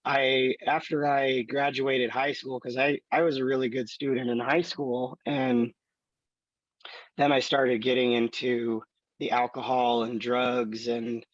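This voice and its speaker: A man in his thirties with a raspy voice, slowly telling his life story in an interview with many fillers. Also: mid-range voice, level tone, no room sound, dull audio. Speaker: student.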